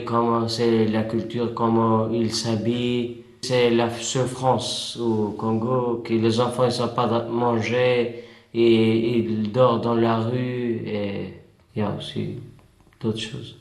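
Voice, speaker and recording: A man's voice: medium pitch, echoey room. A young man presenting a film he helped make, speaking hesitantly with simple phrasing. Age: young adult. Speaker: young filmmaker.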